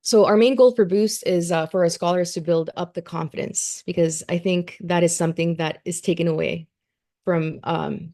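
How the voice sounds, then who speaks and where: A woman with a low, gravelly voice talks a community group through her youth science program. She is earnest and loose, with plenty of ums and restarts, and the fry stays steady under her words. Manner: earnest, many fillers and restarts. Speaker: education program coordinator. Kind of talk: presentation at a community meeting.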